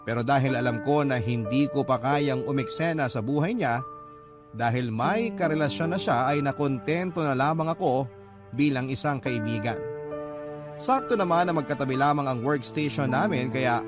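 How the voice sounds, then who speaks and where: Male actor as young office worker, sentimental radio-drama narration, earnest, confiding, clear music underneath. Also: medium pitch, normal speed, lightly acted. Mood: wistful, confiding.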